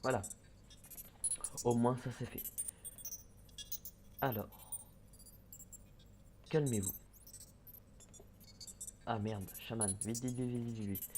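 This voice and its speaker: A man's voice: medium pitch, steady tone, takes it slowly, pauses often, darkish tone, soft background music. Hesitant young man mumbling through gameplay. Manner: hesitant, many fillers and restarts, mutters while playing. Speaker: gaming YouTuber. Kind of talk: casual let's-play commentary. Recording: faint background noise.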